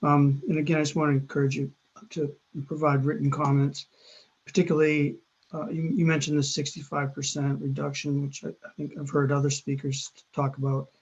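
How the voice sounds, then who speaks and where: A man who is an agency official answering in a hesitant, halting way with many fillers. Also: on the high side, completely flat delivery, slightly rough, dull audio. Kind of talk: hearing response.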